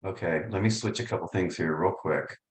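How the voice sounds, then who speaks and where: Low-voiced upbeat man presenting on a call. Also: fairly quick, echoey room. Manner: upbeat, conversational, self-deprecating. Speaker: real estate coaching presenter. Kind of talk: webinar presentation. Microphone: video call.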